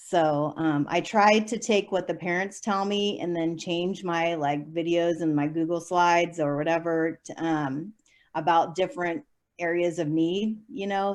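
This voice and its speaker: A woman's voice: lowish voice, fairly even intonation, unhurried, darkish tone. A young adult woman, a teacher, answering interview questions in a chatty way with many fillers and light laughs. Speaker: special education preschool teacher.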